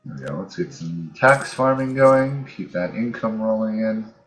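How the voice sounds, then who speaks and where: Low-voiced man doing casual game commentary. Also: lively intonation, normal speed, soft background music, poor audio. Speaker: gamer, host of a let's play series. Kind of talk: casual strategy game commentary, thinking aloud.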